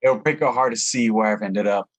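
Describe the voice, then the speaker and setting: A man's voice: lowish voice, steady tone, brisk, natural voice. An actor playing a young male Broadway chorus dancer who is stranded, earnest and pleading. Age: young adult. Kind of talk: audio play acting.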